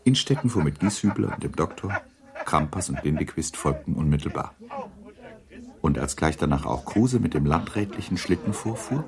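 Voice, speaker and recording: Narrator for literary radio drama: male, very low, gravelly, measured, with dry irony. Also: lively intonation, a little mellow.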